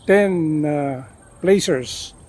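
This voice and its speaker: Older guy from the philippines, slowish, mellow voice, chatting about his jacket in a garden. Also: a little high, slightly rough. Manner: unhurried, many "uh" fillers and restarts. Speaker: denim collector reviewing his jacket. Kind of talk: casual show-and-tell. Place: outdoors in a leafy garden.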